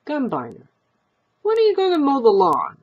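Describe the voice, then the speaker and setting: A woman's voice: high voice, huge pitch swings, moderate pace, lo-fi sound, pitched above the performer's own voice. A woman voicing a feisty, nagging elderly wife with a Yiddish-inflected accent, in a short story reading. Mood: nosy, dismissive. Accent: Yiddish-inflected English. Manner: scolding, rhetorical questioning.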